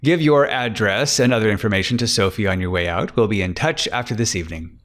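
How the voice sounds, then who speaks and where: A man voicing a slick, greedy, flirtatious private detective in a crime audiobook, smooth-talking and cocky. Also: lowish voice, expressive, fast, fairly bright tone. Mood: reassuring.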